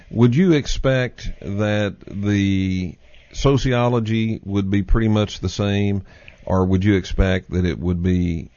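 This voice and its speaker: A man's voice: sits low, darkish tone, no echo, lo-fi sound. A man with a Texas accent hosting a call-in talk show, hesitant at first and then emphatic. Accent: Texan. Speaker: host. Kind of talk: casual chat on a call-in show.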